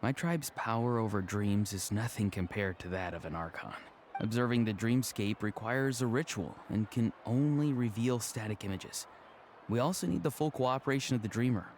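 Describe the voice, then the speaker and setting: An actor playing a soft-spoken, thoughtful young male shaman in an anime game. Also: lowish voice, expressive, normal speed, a little hiss or hum. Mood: thoughtful, explaining.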